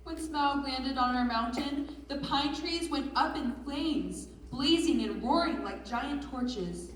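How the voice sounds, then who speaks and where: A woman's voice: sits high, slow pace. A woman playing a grieving dwarf miner, earnestly telling of a lost home in readers theatre. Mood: grave. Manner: earnest, storytelling. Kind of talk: readers theatre stage performance. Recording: distant mic in echoey hall.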